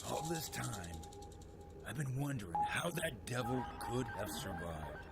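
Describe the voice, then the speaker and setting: Casting: male actor as hot-headed young flyboy, hugely animated pitch, radio serial, loud music behind. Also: unhurried. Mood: bitter, angry. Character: brash, hot-headed young American pilot. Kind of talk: old-time radio adventure serial acting.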